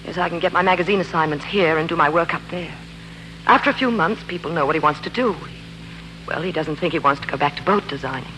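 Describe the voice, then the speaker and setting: A woman's voice: low-pitched, fast, bright, forceful tone. A woman playing a sceptical, independent career woman in a 1940s radio drama, with an American accent and a dry, clipped manner. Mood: guarded, apologetic. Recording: noisy, humming 1940s radio recording.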